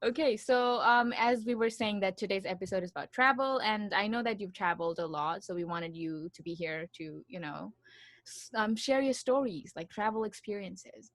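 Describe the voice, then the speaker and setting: A woman's voice: medium pitch, moderate pace, no echo. A young woman hosts a laid-back podcast over a video call, giggling and padding her lines with "like" and "you know". Her voice sits in an ordinary middle range. It is recorded close and dry, with no room sound. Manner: giggly, filler-heavy, loose and chatty. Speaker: podcast host. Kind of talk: casual chat.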